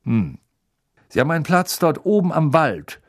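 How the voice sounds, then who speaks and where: A man's voice: fairly high pitch, sing-song, moderate pace, pitched above the performer's own voice. A man voicing a suspicious, plain-spoken campsite owner in a storybook. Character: suspicious, gruff ordinary male campsite owner.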